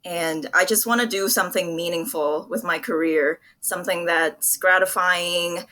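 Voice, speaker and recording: A woman's voice: fairly low pitch, steady tone, moderate pace, pushed and bright. A young woman from Vietnam answering interview questions in an earnest, articulate, conversational way. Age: young adult. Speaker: interview guest, public relations professional.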